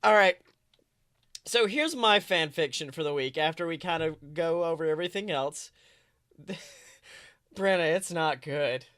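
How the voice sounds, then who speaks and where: A podcast co-host chatting casually and reading fan fiction aloud in an animated, wry way, often self-interrupting. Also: medium pitch, measured pace, long pauses, no room sound, studio quality.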